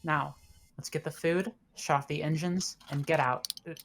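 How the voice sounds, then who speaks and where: Woman as commanding female space officer: low voice, blunt, decisive, suspicious, dramatic table read. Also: fairly even intonation, normal speed.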